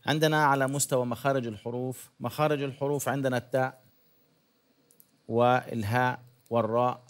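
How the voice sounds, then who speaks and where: Male contest judge, brisk delivery, friendly and technical in his notes. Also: mid-range voice, fairly even intonation. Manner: friendly, detailed, demonstrates sounds. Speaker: competition judge. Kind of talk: spoken critique.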